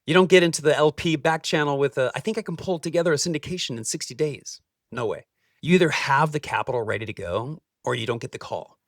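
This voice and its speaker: A man hosting a scripted explainer, speaking straight to the listener in punchy, emphatic short phrases with deliberate pauses. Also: mid-range voice, no echo, studio quality, distant mic. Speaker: host, capital-raising adviser.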